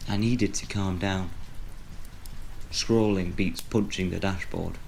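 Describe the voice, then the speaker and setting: Audio drama lead: older male horror writer, low gravelly register, reflective and wry, faint noise behind. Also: steady tone, takes it slowly, darkish tone, exaggerated voice. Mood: brooding, reflective. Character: retired horror storyteller, older man, wry and brooding. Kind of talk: audio drama dialogue and storytelling.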